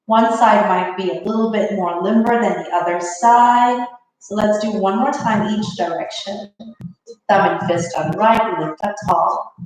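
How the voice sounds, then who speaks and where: A woman leading a live online yoga class, her voice a little rough from allergies, giving calm, guiding cues. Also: mid-range voice, recorded from a distance. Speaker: yoga instructor. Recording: echoey room, away from the mic.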